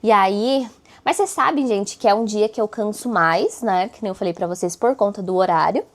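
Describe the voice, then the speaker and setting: A hoarse woman vlogging in a casual chat, talking straight to her viewers and often tagging her sentences with little questions. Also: medium pitch, normal speed. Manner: chatty, addresses viewers directly with frequent tag questions.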